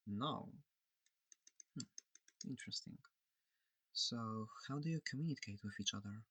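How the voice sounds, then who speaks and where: A performer voicing a friendly, curious alien examiner in a soft, hesitant, breathy ASMR roleplay. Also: mid-range voice, a little slow, no room sound. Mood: curious, thoughtful. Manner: soft-spoken, hesitant, gently inquisitive.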